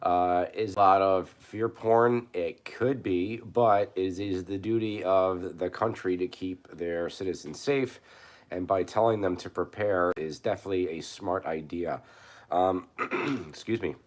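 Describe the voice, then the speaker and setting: A man hoarse from a cold, talking casually on his channel, halting and restarting with many fillers. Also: low voice. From New York. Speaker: prepper channel host. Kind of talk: casual live commentary.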